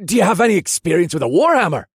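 A man's voice: high voice, very animated, rapid-fire, slightly rough, no room sound, studio quality, pitched above the performer's own voice, exaggerated voice. A man voicing eager, loyal young soldiers in a fantasy audiobook, with a hearty, earnest and emphatic delivery. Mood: cheerful, eager.

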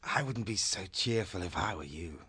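A man's voice: deep voice, expressive, normal speed, pushed and bright, slightly rough, no room sound, studio quality, voice pushed down, full character voice. Man playing a cruel young weasel. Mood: menacing. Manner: cold threatening speech.

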